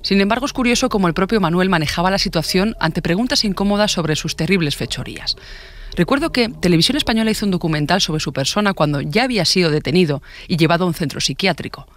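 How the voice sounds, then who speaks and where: High-pitched, brisk Spanish male narrator. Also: lively intonation, fairly bright tone. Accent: Spanish, from Spain. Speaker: podcast narrator. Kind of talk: scripted true-crime narration.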